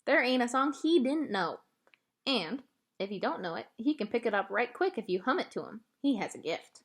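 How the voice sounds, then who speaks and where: Kindly old storyteller played by a female reader, folksy and unhurried, with animated pitch, for a children's storybook. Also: husky, full character voice. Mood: amused storytelling.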